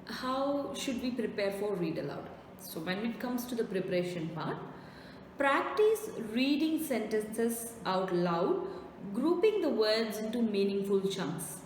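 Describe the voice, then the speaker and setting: A woman's voice: mid-range voice, darkish tone. Woman trainer in a very echoey room. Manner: didactic, emphatic repetition with rhetorical questions. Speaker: test-preparation trainer. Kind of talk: instructional lesson to learners. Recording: very echoey reverberant room, faint noise.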